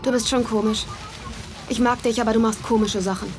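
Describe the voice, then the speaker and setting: A woman's voice: a little high, steady tone, brisk, over music, close to an everyday voice. A woman playing an aloof, mocking teenage girl in a radio drama, blunt and teasing.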